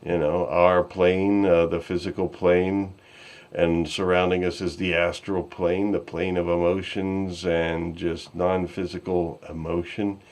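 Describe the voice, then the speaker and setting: A man with a fairly deep voice hosts a relaxed online chat. He speaks off the cuff and rambles in an easygoing way as he steers the discussion. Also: a little slow. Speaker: host of an online fan discussion show. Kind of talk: casual unscripted hosting and chat.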